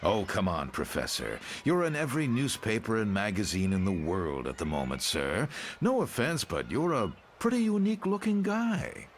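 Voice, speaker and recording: A man's voice: on the low side, sing-song, normal speed, slight background noise. An actor playing a friendly, inquisitive man in a motion comic, chatty, direct and polite. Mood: curious.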